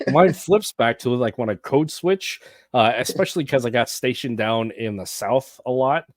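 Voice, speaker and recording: A man's voice: mid-range voice, normal speed, no room sound, clean studio sound. A man hosting a casual podcast conversation, talking emphatically and with strong opinions. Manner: emphatic, opinionated, frequent backchannel murmurs. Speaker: podcast host.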